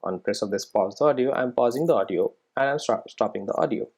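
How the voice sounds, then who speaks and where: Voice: medium pitch, fast talker, gravelly, a little mellow, slightly rough. A programming instructor giving a casual step-by-step screen-recorded tutorial, often checking in with "right?" and "okay".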